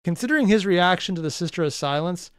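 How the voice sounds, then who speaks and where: A man's voice: high voice, expressive, fast, clear, resonant tone, no echo, studio quality. A man giving casual reaction commentary, tossing in speculative asides and swearing freely. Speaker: reaction channel host.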